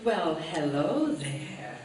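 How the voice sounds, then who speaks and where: Flirty southern belle beauty queen, slow drawl, echoey stage, kinda far. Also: sits low, very animated, a loud crowd, pitched below the performer's own voice, heavily acted. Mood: flirtatious. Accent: American Southern, put on. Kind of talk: live old-time radio comedy acting. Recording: echoey hall from far off, noisy.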